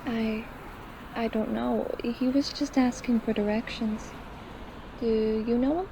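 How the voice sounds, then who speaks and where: A man's voice: extremely high pitch, steady tone, slow, vocal fry, background noise, voice pushed up, exaggerated voice. A man voicing a timid young woman who stammers apologetically in an audio roleplay. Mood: nervous.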